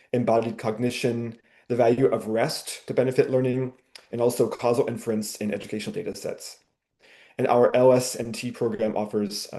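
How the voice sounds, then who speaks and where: Male professor, mellow tone, measured explainer, webinar call. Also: mid-range voice. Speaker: university professor giving a webinar talk. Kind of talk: presentation over a webinar. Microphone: video call.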